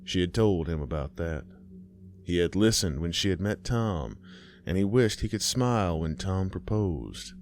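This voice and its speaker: A man with a low, deep voice tells a scary story in a folksy, unhurried way. He talks right to the listener like a host by the fire. There is a faint hiss behind him. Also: expressive. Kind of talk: scripted horror story narration.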